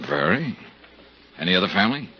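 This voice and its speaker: An actor playing a cool, hard-boiled insurance investigator in a 1950s radio drama, terse and determined. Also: male voice, low-pitched, big pitch swings, brisk, slight background noise. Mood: curt, suspicious. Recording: dull 1950s radio recording.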